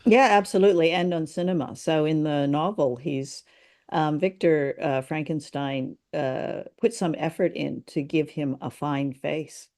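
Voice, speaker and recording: A woman's voice: deep voice, unhurried, a little mellow. A woman co-hosting a podcast, chatting casually in a hesitant, appreciative way. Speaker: podcast co-host. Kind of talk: casual chat about old radio drama.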